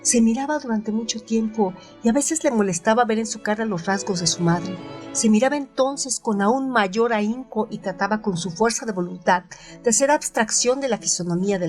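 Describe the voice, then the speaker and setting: A woman giving a calm, even-paced scripted reading of a literary passage as a radio-theatre narrator. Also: mid-range voice, normal speed, faint music behind.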